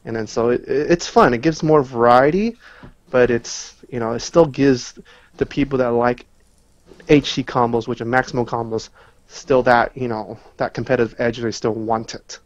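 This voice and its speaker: A man's voice: medium pitch, fairly even intonation, normal speed, dull audio. A man giving casual, analytical answers as a guest player in a gaming interview.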